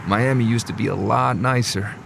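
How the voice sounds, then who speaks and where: A man's voice: lowish voice, steady tone, moderate pace, vocal fry, noisy background. A man playing a friendly, chatty middle-aged cab driver in an audio drama, talking in an easygoing, casual way. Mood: reassuring.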